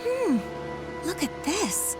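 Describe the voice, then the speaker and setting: A woman voicing a curious, chatty young townswoman in a video game, animated as she gossips. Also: very high-pitched, drawn-out words, music in the background, exaggerated voice. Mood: surprised, curious.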